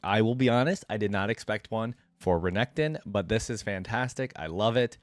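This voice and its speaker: A man's voice: on the low side, normal speed, no echo. A man giving casual, informal reaction commentary as the host of a gaming channel, thinking aloud as he goes.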